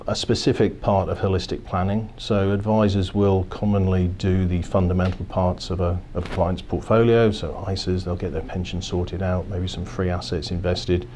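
Man, fifties, executive, low flat voice, measured corporate talk, office interview, faint room hum. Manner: measured, corporate, steady explanations with frequent restarts. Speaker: financial services executive. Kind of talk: business interview. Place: office, indoors.

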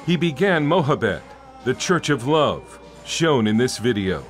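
A man narrates a television news feature in a steady, measured reporter's voice at a mid pitch. Each line is delivered evenly, as if from a script. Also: soft background music.

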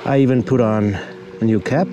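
A man's voice: medium pitch, moderate pace, muffled recording. Chatty man by the sea, music under. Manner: relaxed, chatty, self-mocking. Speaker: fishing show host. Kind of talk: casual outdoor fishing vlog. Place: outdoors on a sea shore. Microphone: lapel mic.

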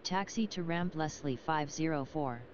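A woman's voice: sits low, normal speed. A woman voicing an airline pilot in a simulator game, giving terse, formulaic readbacks through a radio filter. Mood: flat, procedural. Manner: formulaic, impersonal. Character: female airline pilot radioing the control tower. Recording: quiet, noisy, muffled aircraft radio channel.

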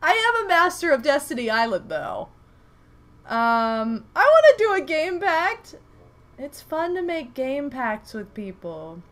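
A woman's voice: high voice, unhurried. A young woman streaming a game from home, chatting casually with viewers, her nose stuffed from a recent flu. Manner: animated, exclaims at the game. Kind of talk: casual livestream chat while playing. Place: home room, indoors.

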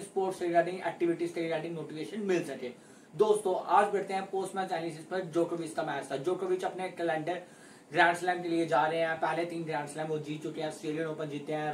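A man's voice: fairly high pitch, a little hiss or hum. A young man from India giving a casual post-match tennis breakdown as a sports vlogger, gesturing as he walks through each score. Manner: animated hand gestures, repeats score calls. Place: small indoor room at home. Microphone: camera at mid distance. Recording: roomy, slightly distant home mic.

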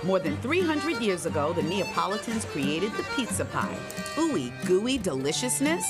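A woman's voice: medium pitch, sing-song, normal speed, over loud music. A middle-aged woman reporting a feature for morning television, chatty and upbeat. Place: TV studio and a restaurant.

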